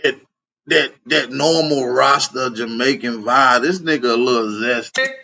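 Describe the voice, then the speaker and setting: A man's voice: medium pitch, measured pace, gravelly, pushed and bright. An American man giving casual, opinionated reaction commentary, blunt, slangy and confrontational, with frequent swearing. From United States.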